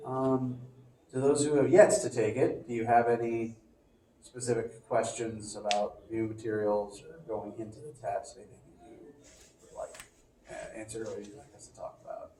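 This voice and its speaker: A man's voice: fairly low pitch, normal speed, noisy background. A male physics professor lecturing casually to a class, sniffly from allergies, with many fillers and restarts, recorded on a phone across the room. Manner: relaxed, chatty, with frequent fillers and restarts. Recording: echoey classroom, distant phone mic.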